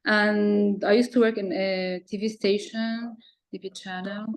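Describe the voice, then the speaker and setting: A woman's voice: medium pitch, level tone, measured pace. A woman from Lebanon speaking English as a second language, introducing herself informally and a little hesitantly on a video call. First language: not English. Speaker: master's student, former TV news reporter.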